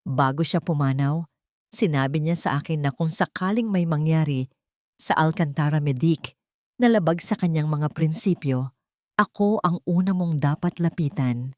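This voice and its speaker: Woman doing a serious male lawyer in his forties, formal, blunt, calm, storybook drama, dry sound. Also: deep voice, normal speed, no room sound, lightly acted. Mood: solemn.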